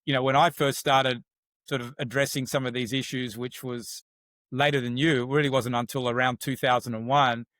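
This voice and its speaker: A man's voice: mid-range voice, flat delivery, normal speed, a bit bright, no echo. A middle-aged man with an Australian accent, hosting a conversational interview and often restarting and repeating words. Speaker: podcast host.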